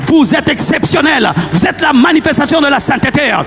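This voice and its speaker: High-pitched Congolese preacher in a reverberant hall. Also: male voice, animated, brisk, fairly bright tone. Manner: exhorting, repetitive, rhetorical questions to the congregation. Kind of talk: fiery sermon. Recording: long hall reverb, music underneath.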